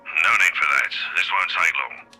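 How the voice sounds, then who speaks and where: An actor playing a gruff, stern older police chief in a game, blunt and authoritative, through a phone filter. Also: male voice, sits low, moderate pace, pushed and bright, soft background music, heavily acted. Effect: phone call filter. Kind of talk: visual novel voice acting.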